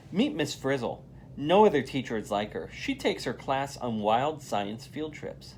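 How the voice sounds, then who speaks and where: A man gives an animated read-aloud of a children's storybook. His pitch moves freely and he leans on key words with expressive emphasis. There is faint noise behind his voice. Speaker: volunteer read-aloud reader.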